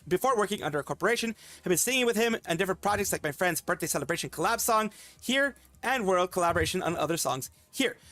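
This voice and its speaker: A man's voice: high voice, fairly bright tone, dry recording. A man streaming commentary, reading a document aloud and stopping often to comment, with fillers and restarts. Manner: fast, halting, with frequent fillers and restarts.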